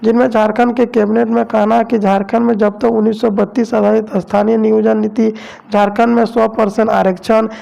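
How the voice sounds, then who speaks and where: High-pitched, creaky male news narrator. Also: mellow, lo-fi sound.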